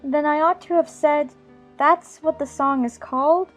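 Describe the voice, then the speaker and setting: A woman's voice: high-pitched, fairly even intonation, a little slow. An actress playing a curious, polite, puzzled young girl in a dramatized audiobook, with music behind her.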